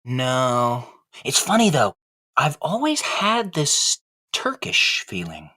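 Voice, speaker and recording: Husky, wistful wooden dummy. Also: male voice, mid-range voice, wildly swooping pitch, takes it slowly, sharp tone, full character voice. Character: wistful, philosophical wooden male ventriloquist dummy. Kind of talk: comic audio play dialogue.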